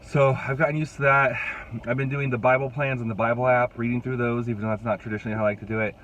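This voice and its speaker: A man's voice: mid-range voice, flat delivery, fast, a bit bright, muffled recording, a little hiss or hum. A young adult man, a youth pastor, chatting casually to his phone camera while fighting a cold, rambling with restarts. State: congested, fighting a cold, voice rough. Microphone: phone held at arm's length.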